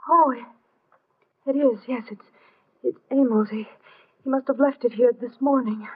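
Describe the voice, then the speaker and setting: Glamorous distressed stage star, melodramatic and halting, dark-toned, muffled vintage radio sound. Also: female voice, a little high, fairly even intonation, slightly rough. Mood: uneasy. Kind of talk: 1950s radio drama acting. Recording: muffled lo-fi old radio broadcast.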